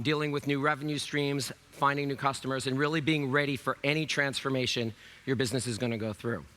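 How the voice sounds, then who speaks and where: A man in his fifties with a raspy voice, hosting a conference keynote in a loose, chatty way with many fillers. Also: mid-range voice, pushed and bright. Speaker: keynote host. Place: large conference stage.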